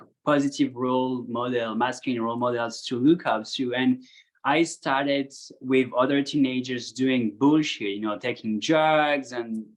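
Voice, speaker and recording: Earnest Belgian-born man, mid pitch. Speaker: hypnotherapist. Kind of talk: round-table discussion.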